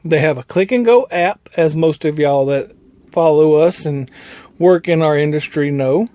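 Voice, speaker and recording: A man's voice: on the high side, moderate pace, warm tone, dry recording. A man, an owner-operator truck driver talking casually in a plainspoken, meandering way. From United States. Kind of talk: casual vlog talk.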